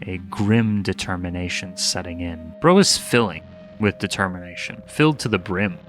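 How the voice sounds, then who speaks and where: A man's voice: deep voice, normal speed. A man hosting a commentary video in an informal, opinionated way, moving between scripted recap and casual asides. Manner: informal, opinionated, mild swearing. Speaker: host. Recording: music under the voice. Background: background music.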